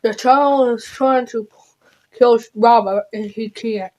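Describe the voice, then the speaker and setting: Slow, high-voiced announcer, female. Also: fairly even intonation, a little mellow, slight background noise, low-quality recording. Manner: halting, deliberate delivery with stumbles. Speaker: announcer of a mock emergency alert. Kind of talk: improvised mock emergency alert announcement.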